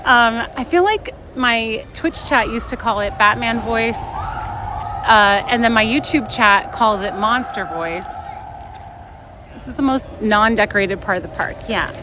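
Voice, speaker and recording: A woman's voice: fairly high pitch, a little slow. Playful woman livestreaming amid outdoor noise. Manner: playful, teasing, self-interrupting. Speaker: live streamer reading and answering chat. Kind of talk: casual chatty livestream talk. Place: outdoors in a busy theme park. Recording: noisy outdoor park ambience. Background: outdoor park ambience.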